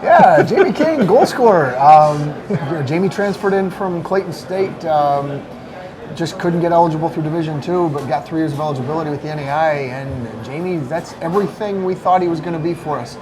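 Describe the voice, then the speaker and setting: Slightly high-voiced male coach, busy crowd. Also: lively intonation, a little fast, poor audio. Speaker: college soccer head coach. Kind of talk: casual sports interview answers. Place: busy restaurant eatery. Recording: crowd chatter behind the voice. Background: crowd chatter.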